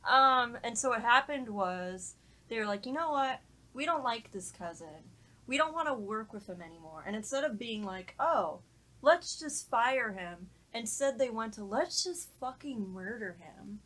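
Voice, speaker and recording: Bright-voiced woman chatting casually. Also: on the high side, lively intonation, a little slow, low-quality recording. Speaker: podcast panelist. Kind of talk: casual chat, anecdote telling.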